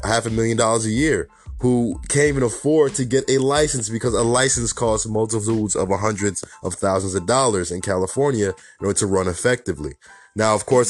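A man with a New York accent hosting a casual solo podcast, talking in a chatty way full of "you know" and "honestly," his voice raspy and hoarse from a slight cold. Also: on the low side. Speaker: podcast host.

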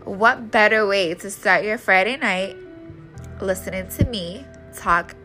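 A woman's voice: medium pitch, measured pace, bright, forceful tone, soft background music. A woman podcast host with a congested, nasal voice, chatting casually and in slang straight to her listeners. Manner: informal, slangy, addresses listeners directly. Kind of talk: casual solo podcast promo chat.

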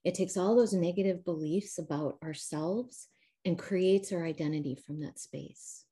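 An American woman with a Midwestern accent tinged with Southern, speaking in a lowish, mellow voice. She explains things calmly and at length, with the odd "um" and "you know", as a guest joining over a video call. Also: unhurried, husky. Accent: Midwestern mixed with Southern US. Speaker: trauma recovery coach, guest. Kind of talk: explanatory interview talk. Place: home, calling in remotely.

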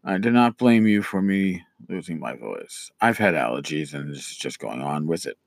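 A middle-aged man talks casually as a podcast host, his voice scratchy and worn as he loses it. He rambles, pausing on fillers and restarting his sentences. The sound is dry and close, with no room around it. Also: sits low, expressive, creaky, no echo. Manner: rambling, with many "uh" pauses and restarts. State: losing his voice, scratchy throat. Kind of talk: casual solo podcast talk.

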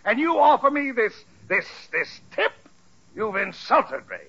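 An actor playing a hearty, wily, condescending company boss in an old radio sitcom, pompous, theatrical and jovially persuasive. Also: male voice, very high-pitched, very animated, measured pace, fairly bright tone, husky, heavily acted. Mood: mock indignant. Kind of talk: 1940s radio sitcom acting. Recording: muffled vintage radio sitcom recording.

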